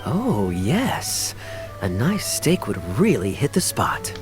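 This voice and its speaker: A suave young gentleman captain from a video game. He speaks at a medium pitch with animated, theatrical swings. He is courteous and affectionate, with faint music behind him. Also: slow. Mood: pleased, courteous. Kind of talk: video game character acting. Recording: background music under the voice.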